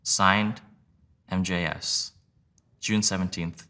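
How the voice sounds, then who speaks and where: A man reading a sworn legal declaration aloud in a measured, plain, steady way. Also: sits low, a little hiss or hum. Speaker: reader of sworn testimony.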